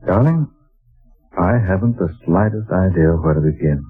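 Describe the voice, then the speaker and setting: A man's voice: deep voice, lively intonation, moderate pace, breathy edge. An actor playing an earnest male writer who narrates his journey, in 1940s radio drama style. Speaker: narrator and lead character. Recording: tinny lo-fi old radio broadcast with music.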